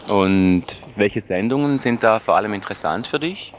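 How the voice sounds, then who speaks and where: A man's voice: fairly low pitch, lively intonation, normal speed, lo-fi sound. A man working as a radio reporter, interviewing passers-by outdoors on a busy street. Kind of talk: vox pop interviewing. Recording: faint street noise behind voice.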